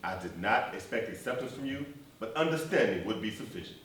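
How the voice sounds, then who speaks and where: An actor playing a solemn, patient preacher and movement leader on stage, with measured, earnest, dignified phrasing. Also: male voice, on the low side, expressive, a bit bright. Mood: restrained. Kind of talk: theatrical stage acting, measured oratory. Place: stage performance, recorded from far off. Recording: echoey distant stage recording, noisy.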